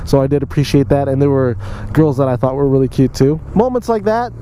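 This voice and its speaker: A man's voice: medium pitch, brisk, mellow, slight background noise, poor audio. A young man telling a casual story in a chatty way, speaking directly to his viewers like a vlogger.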